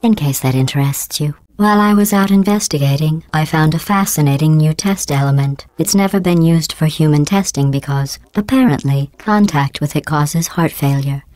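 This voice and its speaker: A woman voicing a cold, sarcastic female AI supercomputer in a video game, with a robotic vocal filter and a deadpan, passive-aggressive delivery. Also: deep voice, normal speed, hardly any pauses, no room sound, low-quality recording. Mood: deadpan mocking. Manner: deadpan, passive-aggressive insults in a calm clipped cadence. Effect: robotic vocal processing.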